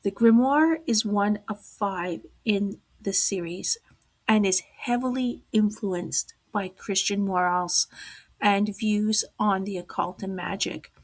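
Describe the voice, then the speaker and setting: A woman hosting a podcast in slow, deliberate speech, hoarse from losing her voice and dropping to a whisper at times. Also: mid-range voice, rough recording. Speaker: podcast host. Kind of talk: slow, deliberate talk on the occult.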